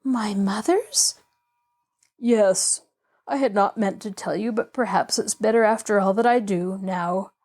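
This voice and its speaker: A woman voicing a nervous, lonely middle-aged man who was once heartbroken, speaking haltingly. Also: mid-range voice, darkish tone.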